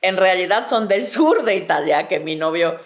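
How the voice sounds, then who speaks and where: A woman's voice: lowish voice, expressive, normal speed. A woman in her early forties with a Mexico City accent, chatting casually and reflectively about herself. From southern Mexico and Mexico City. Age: early 40s. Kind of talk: casual personal chat.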